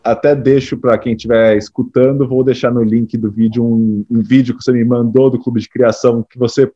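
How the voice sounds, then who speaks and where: A male host asks questions in a friendly, easygoing way, sprinkling in slang. His voice is husky and mellow at an everyday pitch. The audio sounds dull and slightly lo-fi. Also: little pitch movement, fairly quick. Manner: friendly, affirming, uses slang interjections. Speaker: interviewer, podcast host. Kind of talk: casual interview chat.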